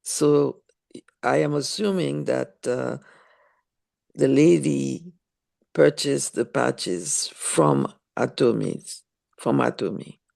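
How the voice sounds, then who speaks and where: Slow, gravelly woman from Haiti. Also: rumbling low, darkish tone. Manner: slow, hesitant, with many fillers. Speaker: caller on a group video call. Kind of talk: casual group call chat.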